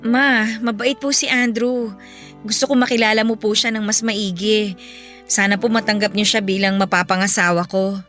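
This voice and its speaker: A woman's voice: medium pitch, steady tone, moderate pace, a bit bright, lightly acted. A woman playing an earnest, hurt young adult daughter in a Filipino radio drama, speaking with emotion. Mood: nervous, pleading. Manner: pleading, emotional. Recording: music behind the voice.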